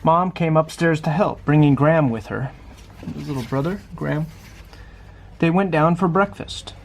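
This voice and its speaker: A man's voice: on the high side, normal speed, vocal fry. A man reading a children's picture book aloud, adding small conversational asides as he goes. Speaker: storybook reader.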